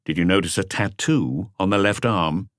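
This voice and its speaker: Man playing a deep-voiced, laconic detective. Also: normal speed, no echo, clean studio sound, natural voice. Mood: probing. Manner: terse, unemotional, authoritative. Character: calm, laconic senior male police detective. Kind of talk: audiobook character dialogue.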